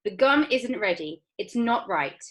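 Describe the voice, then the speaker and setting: A woman's voice: mid-range voice, lively intonation, unhurried, a bit bright. A woman voicing a fretful, eccentric male candy maker in a storybook read-aloud, fussy and anxious.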